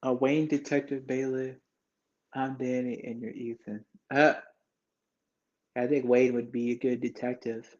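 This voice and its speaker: A man's voice: mid-range voice, flat delivery, a little slow, long pauses, a little mellow, muffled recording. A man in his twenties chatting casually with his live viewers, rambling loosely with lots of fillers and restarts. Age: 20s. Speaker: livestream host chatting with viewers.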